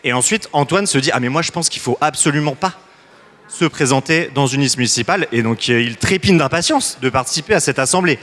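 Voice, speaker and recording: A man's voice: medium pitch, animated, fairly quick, a bit bright. A man facilitating a citizens' assembly, loose and joking, with many restarts.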